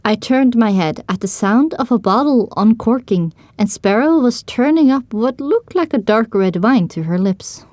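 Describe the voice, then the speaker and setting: A woman's voice: a little high, lively intonation, normal speed, hardly any pauses, a little mellow, no echo. A woman narrating a scripted story in the first person as part of an audio drama.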